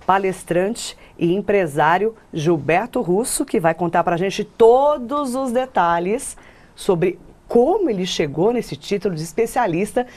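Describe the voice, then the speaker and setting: A woman's voice: medium pitch, lively intonation, unhurried, a little mellow. Female TV interview host, Brazilian accent, mid-range voice, conversational questioning.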